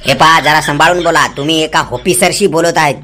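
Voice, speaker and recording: A man's voice: fairly high pitch, fairly even intonation, fairly quick, natural voice. A man voicing an officious, evasive electricity office clerk in a comedy cartoon, defensive and given to explaining. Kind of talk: Marathi comedy cartoon dialogue. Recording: music behind the voice. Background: music.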